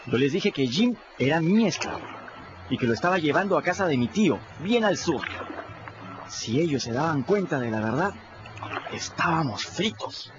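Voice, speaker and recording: An actor playing a lively runaway boy who tells his river adventures colloquially in a radio drama. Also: a little high, moderate pace, muffled recording, background noise, lightly acted. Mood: storytelling. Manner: colloquial, animated storytelling. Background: music.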